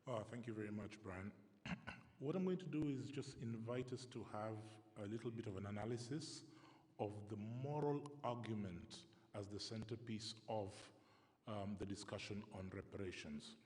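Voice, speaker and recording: A man beginning his panel talk at a conference, measured, pausing on small fillers. His voice is on the low side. The speech rings out with a long reverb from the hall. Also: a little mellow. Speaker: panel speaker. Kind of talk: formal conference presentation.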